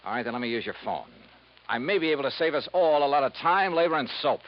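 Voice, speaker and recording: Guy doing wry american radio detective, clipped and quick, 1950s show, muffled old recording. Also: mid-range voice, animated, lightly acted. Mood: brisk, businesslike. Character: wry, brisk male American insurance investigator, cool and skeptical. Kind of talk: 1950s radio detective acting and first-person narration.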